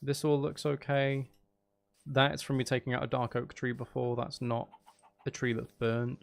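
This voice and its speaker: A man with a gravelly edge, chatting casually to viewers as he plays a game, rambling and trailing off as he thinks aloud. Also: medium pitch, level tone, normal speed. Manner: rambling, trailing off, muttering to himself. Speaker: streamer and audio creator chatting with viewers. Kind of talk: casual livestream gameplay chat.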